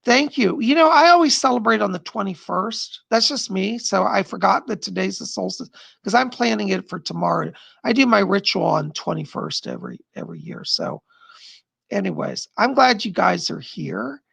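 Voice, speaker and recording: A man's voice: sits high, sing-song, moderate pace, gravelly, fairly bright tone, husky, no echo. A middle-aged psychic host with a strained, tired voice, chatting casually from home as he reads out viewer comments, rambling and laughing often. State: strained, losing his voice. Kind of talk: casual live-stream chat reading viewer comments.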